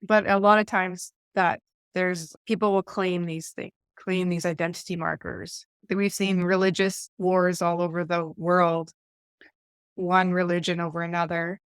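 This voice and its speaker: A woman's voice: fairly low pitch, level tone, a little slow, clear, resonant tone, no room sound, clean studio sound. A woman with an Eastern Ontario Canadian accent chatting casually as a podcast co-host, in a rambling, anecdotal way. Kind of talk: casual conversational discussion.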